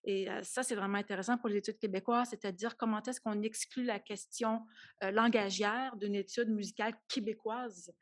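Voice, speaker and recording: A woman's voice: mid-range voice, fairly even intonation, moderate pace, no echo. A woman talks as a panelist at an academic round table. She hesitates often and restarts her sentences. The sound is dry and close. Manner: hesitant, many fillers and restarts.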